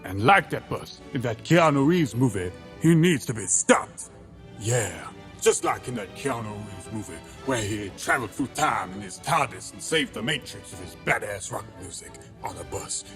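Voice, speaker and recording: A man's voice: fairly low pitch, lively intonation, moderate pace, a bit bright. A man playing a pompous, sentimental crab detective who narrates his log in a grandiose, melodramatic comedy audio drama style. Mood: dramatic narrating. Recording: music behind the voice.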